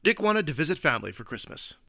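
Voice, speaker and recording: Earnest superhero played by a man, formal, straight-laced, lively swoops, bright forceful tone, radio comedy. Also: a little high, fast, creaky, no echo, clean studio sound, exaggerated voice. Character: earnest, stuffy adult male caped crime-fighting hero. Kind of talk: comedy radio play acting.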